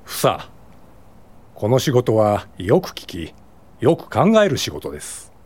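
An actor playing a grave, caring American mentor with a husky voice in a radio drama. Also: male voice, fairly low pitch, sing-song, takes it slowly, exaggerated voice. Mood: grave, instructive.

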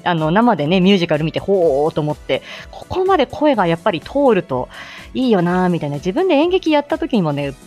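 A woman's voice: fairly low pitch, a little mellow. A woman hosting a casual morning livestream, chatting in a giggly, exclaiming way. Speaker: live audio show host.

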